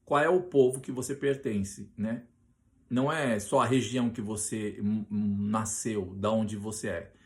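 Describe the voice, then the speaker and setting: A man's voice: on the low side, fairly even intonation. Hesitant Brazilian man preaching. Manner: hesitant with many restarts, reads scripture slowly and loudly. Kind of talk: preaching on a Bible passage.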